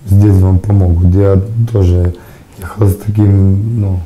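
A young man with a hoarse, worn voice speaking quietly and haltingly in an interview, recorded on a lapel mic in a studio. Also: low-pitched, flat delivery, poor audio. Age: young adult. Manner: quiet, halting, often dropping to a whisper. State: hoarse, lost his voice. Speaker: patient giving a testimonial. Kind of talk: interview testimonial. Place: studio, plain dark backdrop.

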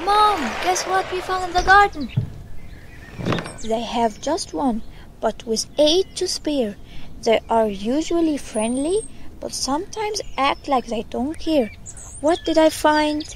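Birdsong and noticeable background noise surround a high young voice. It is a woman playing an eager, tender-hearted little girl in a children's audio story, speaking earnestly and full of questions. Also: expressive, slow pace. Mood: excited.

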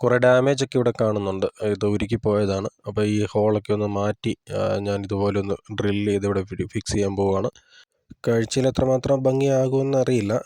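A man's voice: fairly low pitch, mellow, dry recording, studio quality. A man giving a casual hands-on tutorial, explaining plainly step by step as a hobbyist presenter.

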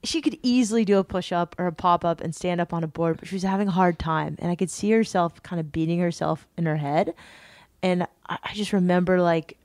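A woman hosting a podcast, chatting casually and telling stories in a lively, animated way. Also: fairly low pitch, fairly quick, no echo. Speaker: podcast host. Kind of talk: casual interview chat.

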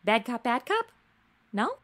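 Sassy, excitable young modern woman , played by an actress for comic audio drama; high-pitched, swooping delivery. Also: unhurried. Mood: mock tough. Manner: sassy, excitable, slangy interjections. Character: brash, ditzy modern young woman playing detective. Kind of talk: comedic audio drama acting.

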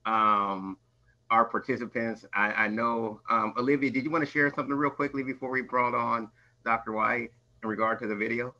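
A man's voice: mid-range voice, bright, forceful tone. An American man informally hosting an online event from an office over a video call. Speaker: event host. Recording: slightly dull video-call audio.

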